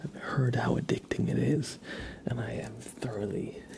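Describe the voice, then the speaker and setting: A man hosting an ASMR livestream, chatting casually and softly to viewers in an unhurried way, close to the microphone. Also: mid-range voice, fairly even intonation, creaky. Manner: soft-spoken, mostly whispering, unhurried.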